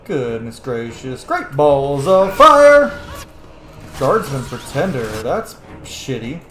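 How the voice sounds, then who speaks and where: A man's voice: mid-range voice, sing-song, slow pace, fairly bright tone, reverberant, a little hiss or hum. A man, a young adult, hosting a gaming video with casual, sweary, emphatic commentary. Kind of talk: casual let's-play commentary.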